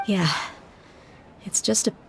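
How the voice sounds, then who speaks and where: An actress playing a tough but warm-hearted young desert mercenary, a bereaved daughter speaking frankly and reflectively in a fantasy game. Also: female voice, takes it slowly, a little hiss or hum, lightly acted. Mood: reflective, moved. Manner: low-key, reflective, frank. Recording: music behind the voice.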